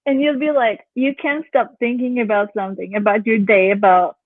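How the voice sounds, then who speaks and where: Young woman from canada, yoga instructor, relaxing voice, fairly high pitch, dry sound. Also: fairly even intonation, moderate pace, dry recording. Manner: chatty, laughs often, acts out what others say. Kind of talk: casual interview chat. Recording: phone-like call audio.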